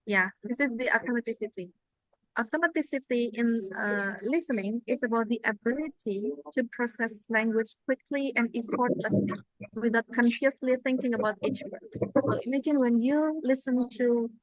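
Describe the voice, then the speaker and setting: Female lecturer, Indonesian accent and native Indonesian speaker, higher-pitched voice, dry close sound, halting explanations over slides. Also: dry recording, poor audio. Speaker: lecturer presenting at a language-learning seminar.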